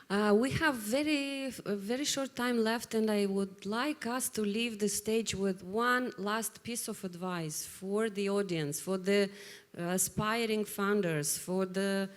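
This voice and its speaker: A woman moderating a live startup panel, speaking in an upbeat, conversational way. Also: medium pitch. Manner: upbeat, conversational, wraps up the session.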